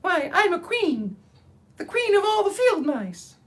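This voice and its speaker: Tiny squeaky mouse queen, regal and quick to take offence, very high and far-off in tone. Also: sing-song, heavily acted. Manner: indignant and regal.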